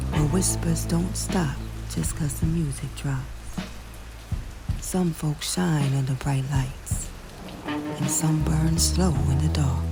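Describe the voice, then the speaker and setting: A woman playing a sultry, flirtatious late-night lounge radio hostess, speaking in an intimate, unhurried way over music and rain. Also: deep voice. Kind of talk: late-night radio host patter, storytelling intro.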